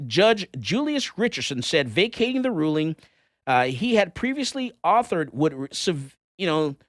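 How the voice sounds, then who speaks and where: A middle-aged man hosts a talk show and comments on the news. His pitch swings widely, and he drives his points home by repeating them. The sound is close and dry. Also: no echo. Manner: animated, emphatic, repeats points.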